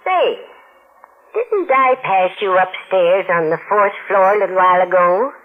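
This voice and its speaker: A narrow old radio recording with faint noise carries an actress as a no-nonsense landlady. She is nosy and suspicious, with blunt, animated delivery in 1940s drama style. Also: mid-range voice, unhurried, lightly acted.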